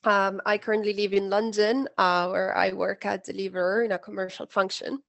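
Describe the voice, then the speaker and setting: A woman gives a brisk, friendly introduction on an online panel. Her voice has a gravelly edge, and the call sound is dry and close. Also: mid-range voice, little pitch movement, no room sound. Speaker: alumni panelist. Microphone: video call.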